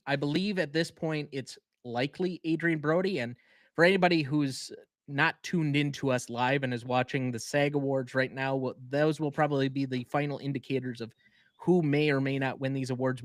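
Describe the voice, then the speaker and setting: A man's voice: medium pitch, no room sound. Opinionated man, dry close sound. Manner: opinionated, emphatic. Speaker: podcast co-host. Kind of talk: casual chat.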